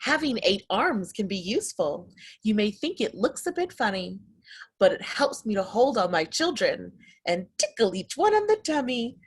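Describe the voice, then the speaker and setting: A woman's voice: mid-range voice, very animated, normal speed, husky. A woman voicing an affectionate octopus parent in a playful, sing-song way for a children's storybook. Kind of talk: children's picture-book read-aloud.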